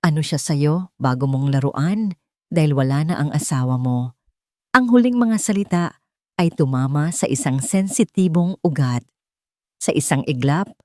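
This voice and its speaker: A woman's voice: sits low, darkish tone, dry recording, clean studio sound. A woman reading a dramatic story as scripted narration.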